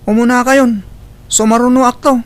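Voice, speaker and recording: A woman's voice: on the high side, steady tone, moderate pace, close to an everyday voice. Woman acting sullen Filipino schoolboy son. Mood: sullen, embarrassed. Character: sullen student son, ashamed of his mother. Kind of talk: Ilocano radio drama acting.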